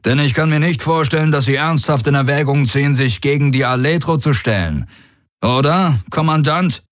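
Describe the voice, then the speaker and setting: Man voicing a proud, high-pitched alien warlord. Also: normal speed, no echo, clean studio sound, close to an everyday voice. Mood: defiant. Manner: commanding, smugly self-assured. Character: proud, bold alien warrior warlord, coolly mocking. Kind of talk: audiobook character dialogue.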